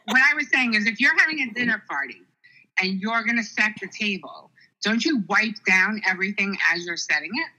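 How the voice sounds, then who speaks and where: A woman's voice: mid-range voice, expressive, fairly quick, bright, forceful tone, rough recording. A woman of about fifty bantering bluntly in a casual podcast chat. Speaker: podcast co-host.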